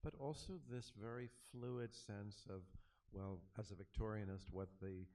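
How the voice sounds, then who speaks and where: Deep-voiced scholarly moderator, far off. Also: male voice, normal speed, dark tone. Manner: hedging, scholarly, with fillers. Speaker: moderator, academic. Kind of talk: academic Q&A moderation. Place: cinema auditorium.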